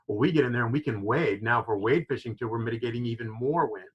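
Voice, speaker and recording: A man's voice: mid-range voice, quick pace, husky, dull audio. A man from Florida who owns a fishing lodge, explaining with enthusiasm and many "you know" fillers on a video call. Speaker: fishing lodge owner, guest. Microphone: video call microphone.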